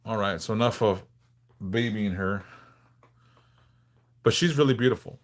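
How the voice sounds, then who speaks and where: A man in his forties from Southern California, hosting a casual livestream and answering viewers in a frank, laid-back way with halting restarts. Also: lowish voice. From Los Angeles, California. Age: 40s. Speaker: livestream host.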